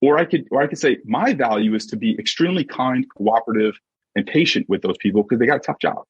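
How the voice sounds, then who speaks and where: A husky-voiced man giving an emphatic, conversational motivational talk as a coach and podcast guest, often checking in with short rhetorical questions. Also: mid-range voice, expressive, quick pace, no room sound. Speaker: podcast guest, sports mindset coach and author. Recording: dull, muffled lo-fi audio.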